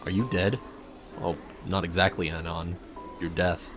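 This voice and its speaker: A man's voice: low-pitched, fairly even intonation, measured pace, music in the background. A man reading a scripted second-person story aloud as its narrator.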